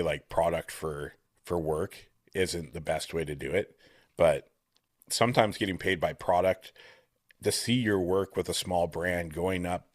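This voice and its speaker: A man with a deep voice hosting a casual podcast interview from home, talking in an earnest, upbeat, rambling way. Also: no room sound. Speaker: podcast host. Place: home room. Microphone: studio mic with compressor, at home.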